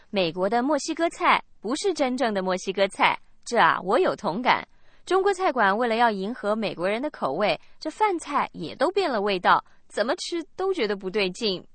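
Woman co-host, chatty explainer: bright-pitched, animated, dry booth sound. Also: moderate pace, no room sound. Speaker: radio lesson co-host. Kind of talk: scripted radio language lesson.

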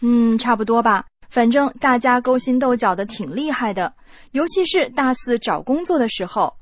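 A woman's voice: on the high side, animated, normal speed, dry recording. A woman co-hosting a scripted radio chat, talking in a reflective way. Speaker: radio co-host.

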